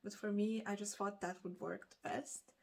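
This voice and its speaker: A woman's voice: mid-range voice, little pitch movement, normal speed, gravelly, a little mellow. Hesitant, rambling Lithuanian woman chatting casually. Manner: hesitant, rambling, self-correcting. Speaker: book vlogger. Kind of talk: casual unscripted chat to camera.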